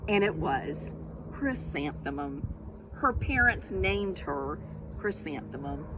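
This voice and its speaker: A woman reads a picture book to young children in an unhurried, deliberate way. Her pitch moves expressively as she speaks straight to her young listeners and invites them to join in. There is noticeable noise behind her voice. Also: lively intonation. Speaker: storytime reader, teacher of young children.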